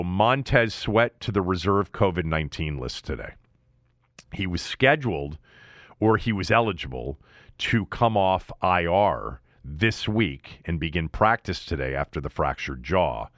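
A man hosting a sports podcast, giving news and analysis in a halting, self-correcting manner. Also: low-pitched, a bit bright, no echo, clean studio sound.

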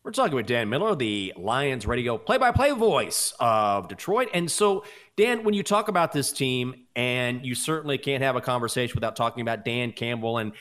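A man's voice: medium pitch, a bit bright. An American man hosting a sports show, introducing a guest and putting questions to him. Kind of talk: radio interview questioning.

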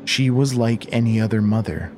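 A man narrating a scripted horror story as its storyteller, in a measured, deliberate way. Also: on the low side.

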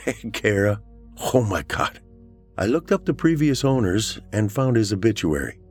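A man voicing an anxious, excitable adult woman with an expressive, informal manner in an audiobook. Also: on the low side, normal speed, faint music behind, pitched above the performer's own voice. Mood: freaked out.

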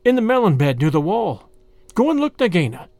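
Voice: mid-range voice, sing-song, a little fast, a little mellow, dry recording. A narrator voicing a fierce, taunting male mongoose fighter in a cocky, challenging way, for a storybook. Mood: defiant.

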